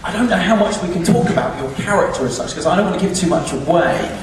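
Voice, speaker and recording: A man's voice: sits high, animated, quick pace. A man hosting a live panel Q&A, putting questions in an effusive way. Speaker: Q&A host. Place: large indoor cinema auditorium. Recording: echoey cinema hall, distant, noisy.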